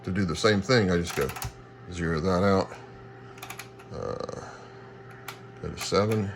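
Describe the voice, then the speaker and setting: A very low-voiced man talks through a casual equipment demonstration. He speaks haltingly, thinking aloud as he goes, with clear static and hiss behind him. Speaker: radio hobbyist demonstrating equipment. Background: shortwave radio noise.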